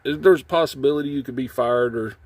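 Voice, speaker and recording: Male DIY host, folksy and informal, mid-pitched, explaining hands-on work step by step as he goes. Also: fairly quick, darkish tone, no room sound. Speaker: how-to video host, trucker. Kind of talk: casual hands-on tutorial, talking while working. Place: in and around a truck cab.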